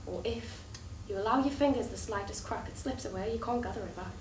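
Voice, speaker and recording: An actress playing a devoted, pleading woman in love in a theatrical stage drama, speaking earnestly in deliberate, measured phrases. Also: on the high side, a little mellow, close to an everyday voice. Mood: reflective. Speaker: stage actor. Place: theatre stage, distant microphone. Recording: echoey theatre recording, distant, clearly noisy.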